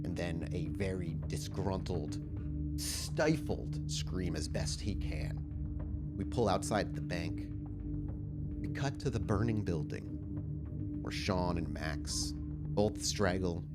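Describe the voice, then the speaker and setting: A man narrating a tabletop role-playing game as its storyteller, in a dramatic style. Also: deep voice.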